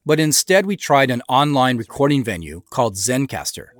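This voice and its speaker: A man's voice: medium pitch, big pitch swings, moderate pace. A man hosting a scripted behind-the-scenes commentary on an audio drama as its creator.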